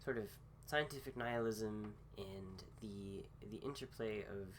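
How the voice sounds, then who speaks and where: A man recovering from a cold gives a casual philosophy talk with many pauses, fillers and restarts. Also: on the low side, slight background noise. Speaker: host.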